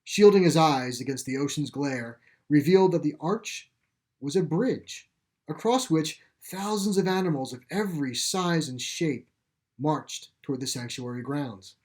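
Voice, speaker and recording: A man reading as an author and storyteller, in expressive scripted narration. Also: fairly high pitch.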